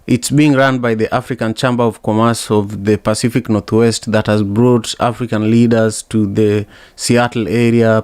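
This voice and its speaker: A man hosting a podcast in a conversational tone, with a smooth, resonant voice and an easy mid-range pitch. He plugs events and sets up his guest's questions, dropping fillers as he goes. The recording is dry and close. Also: no echo. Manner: warm promotional chatter with frequent fillers. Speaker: podcast host.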